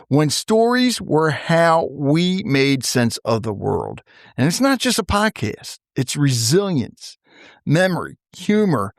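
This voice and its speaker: A man's voice: fairly high pitch, dry recording, clean studio sound. Upbeat man hosting a podcast. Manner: upbeat, laughs often. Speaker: podcast host. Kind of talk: reading a scripted intro, then casual chat.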